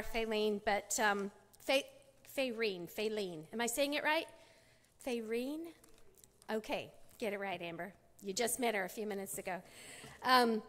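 A woman's voice: a little high, a bit bright, in a large hall. A middle-aged American woman giving a talk at a church women's conference, telling stories in a chatty way with many fillers and restarts, speaking at a podium on a large stage. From United States. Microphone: podium mic.

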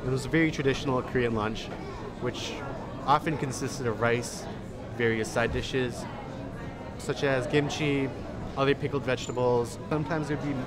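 A young man with an American accent reflects on his own life in an interview. His voice sits at a middle pitch and his delivery is casual, pausing mid-sentence. A busy restaurant crowd is loud behind him. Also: fairly even intonation. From Indonesia and Illinois, United States. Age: young adult. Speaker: documentary interviewee. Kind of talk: reflective personal storytelling to camera. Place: busy food hall, indoors. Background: loud crowd chatter.

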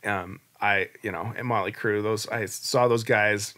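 A man's voice: on the low side, steady tone, a little slow, pushed and bright. A man in his forties, a guest on a podcast, telling his story in a candid, reflective, rambling way. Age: 40s. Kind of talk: casual interview storytelling.

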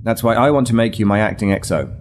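An actor playing a weary but resolute military starship captain in an audio drama, measured, formal and authoritative. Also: male voice, fairly low pitch, a little hiss or hum, close to an everyday voice. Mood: grave, persuasive.

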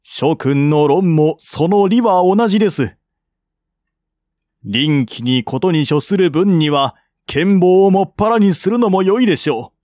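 A man's voice: high voice, animated, unhurried, a little mellow, no room sound, studio quality. A man reading the part of a courteous, shrewd peace envoy in a historical novel, speaking politely and formally. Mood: earnest, persuading. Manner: polite, formal, reasoning step by step.

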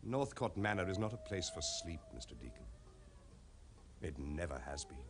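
An actor with an English accent playing a bitter, haunted manor gentleman in a formal, ominous radio drama style. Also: low voice, big pitch swings, moderate pace. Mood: ominous, brooding. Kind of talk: 1970s radio drama acting. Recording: noisy old radio play tape.

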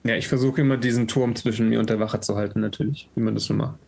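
A man's voice: mid-range voice, steady tone, fast, gravelly, darkish tone. A man, chatting casually and flippantly as he describes his character's actions in a role-playing game. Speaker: tabletop role-playing player. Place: indoors at home, on a video call.

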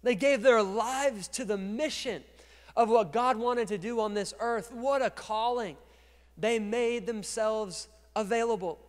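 Male pastor, 30s, higher register, energetic sermon delivery into a handheld mic, echoing church hall. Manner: energetic preaching, gesturing and moving on stage, swings from quiet to loud. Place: large dark church stage. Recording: long reverb of a large church hall.

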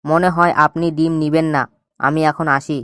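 A man voicing a sly, bossy jackal egg thief who talks smoothly and schemes in an animated cartoon. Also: a little high, normal speed, no room sound, studio quality, close to an everyday voice. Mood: sly sales patter. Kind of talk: cartoon voice acting.

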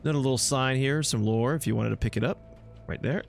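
A man's voice: medium pitch, fairly quick, vocal fry, faint music behind. A man giving casual, chatty, informal commentary while playing a video game. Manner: laid-back, chatty, informal. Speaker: gameplay video host. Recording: game sounds under the voice. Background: video game ambience and effects.

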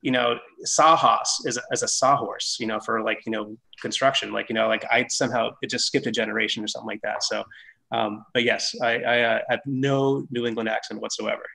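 Creaky-voiced American man, informal. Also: medium pitch, little pitch movement, fairly quick, bright, forceful tone, rough recording. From Massachusetts, United States. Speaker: guest, head of product development at a sports gear maker. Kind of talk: casual product talk on a video panel. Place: small indoor workshop office. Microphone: computer mic on a video call.

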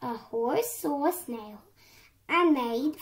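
A woman's voice: high voice, expressive, slow. A young girl narrating a children's story as its storyteller.

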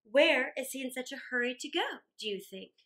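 A woman voicing a kindly, wise father in a storybook, patient and gently teasing as he explains. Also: high-pitched, lively intonation, a little fast. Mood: gentle. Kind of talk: storybook character voice.

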